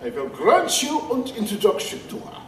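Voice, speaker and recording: A brooding, pompous male vampire of learning, played by a man for an audio drama. His voice sits high and moves about a lot, with formal, deliberate phrasing, heard at some distance over faint noise. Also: huge pitch swings, roomy sound, full character voice. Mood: reverent, solemn.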